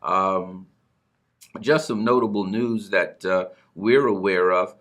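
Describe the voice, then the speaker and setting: Deep, bright-voiced male talk host. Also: takes it slowly. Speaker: broadcast host. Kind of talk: conversational talk show commentary.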